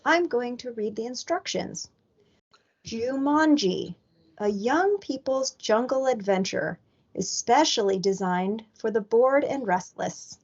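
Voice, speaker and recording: An earnest, bossy little storybook girl played by a woman reading aloud, pronouncing things slowly and emphatically. The voice sits fairly high. The sound is dull and lo-fi. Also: animated, darkish tone, full character voice. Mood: bossy, instructive. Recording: dull lo-fi recording.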